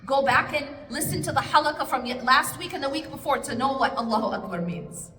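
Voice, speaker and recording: Woman teaching a study circle, bright slightly high voice, lots of rhetorical questions, roomy hall echo. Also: animated, brisk. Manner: conversational, rhetorical questions, coaxing. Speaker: speaker at an Islamic study circle. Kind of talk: lecture answering an audience question. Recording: echoey hall with faint noise.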